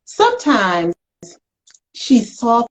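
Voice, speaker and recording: A woman's voice: on the high side, huge pitch swings, extremely slow. An American woman hosting a casual interview in a chatty, playful, folksy way. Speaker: talk show host.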